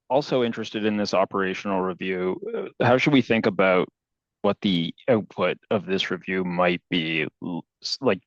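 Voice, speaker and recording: A man calling in as a financial analyst, asking questions on an earnings call with halting phrasing. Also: low-pitched, fairly bright tone, no echo. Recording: dull, band-limited phone conference audio.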